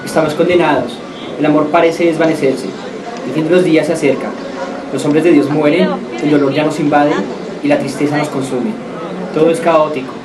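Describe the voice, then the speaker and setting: A man's voice: a little high, fairly even intonation, brisk, natural voice. Man acting a despairing doomsayer, echoey and distant. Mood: anguished. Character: despairing doom-laden medieval man foretelling the end. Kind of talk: amateur radio drama acting. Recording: echoey, distant, music behind.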